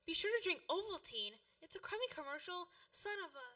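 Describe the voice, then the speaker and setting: A woman in a radio play voicing an earnest, excitable schoolboy with an emphatic manner. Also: very high voice, a little fast, distant mic, heavily acted. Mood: disgusted. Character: earnest, excitable schoolboy who grumbles when let down.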